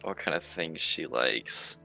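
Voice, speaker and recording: A man's voice: rumbling low, takes it slowly, gravelly, pushed and bright, soft background music, poor audio. A man chatting casually as a player in a tabletop roleplaying game.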